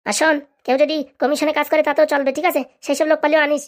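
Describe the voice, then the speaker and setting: A woman's voice: sits high, flat delivery, racing, close to an everyday voice. A woman playing a bossy, grumbling crook who runs a begging racket in a comic cartoon, scolding and sarcastic.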